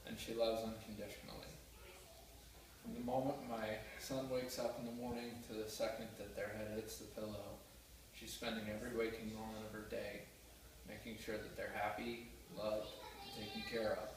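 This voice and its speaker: A man's voice: on the low side, level tone, moderate pace. Earnest man speaking in an echoey room. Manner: earnest, heartfelt, with small restarts. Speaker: student giving a class speech. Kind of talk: prepared speech. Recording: roomy echo, mic far off, faint noise.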